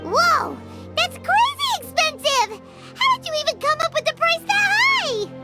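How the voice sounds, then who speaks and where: Squeaky, excitable tiny childlike companion. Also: female voice, unhurried, exaggerated voice. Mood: shocked. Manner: exclamatory, cutesy. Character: tiny childlike floating companion, excitable, curious, speaks in third person. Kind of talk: video game voice acting. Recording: loud game music behind. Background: music.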